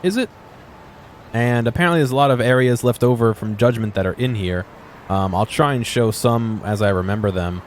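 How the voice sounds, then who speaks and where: A man's voice: medium pitch, a little fast, slight background noise. A man giving casual, unscripted video game exploration commentary, musing aloud and asking himself questions.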